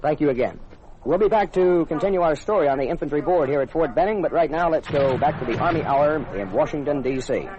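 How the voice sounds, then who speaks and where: A man's voice: fairly high pitch, lively intonation, fast talker, crowd noise. An American man conducting a polite, formal radio interview as a military reporter, with deferential manners. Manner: courteous, deferential. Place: on location at an army base. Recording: slightly dull lo-fi field radio recording.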